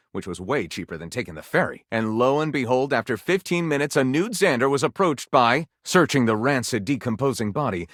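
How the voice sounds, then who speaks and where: A man's voice: mid-range voice, a bit bright, no room sound, studio quality. A man playing a theatrical game character who narrates pulpy detective adventures with arch mock gravity and a tongue-in-cheek manner. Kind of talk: dramatic scripted story narration.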